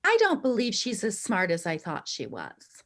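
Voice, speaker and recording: A woman playing a bossy, suspicious, snobbish suburban housewife, brisk and judgmental, in a staged play reading. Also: mid-range voice, huge pitch swings, fairly bright tone.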